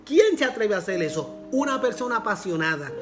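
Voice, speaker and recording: Puerto Rican pastor preaching earnestly. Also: male voice, high-pitched, big pitch swings, fairly quick, over music. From Puerto Rico. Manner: repeats phrases for emphasis, earnest preaching. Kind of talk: sermon.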